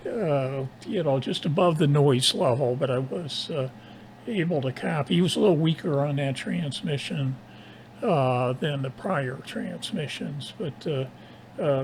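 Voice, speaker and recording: Male radio hobbyist, worn-out creaky voice, slightly high, halting casual delivery. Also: a little hiss or hum, poor audio. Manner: halting, pausing often mid-sentence. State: tired, strained voice after nearly losing it. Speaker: amateur radio operator. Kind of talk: casual ham radio conversation.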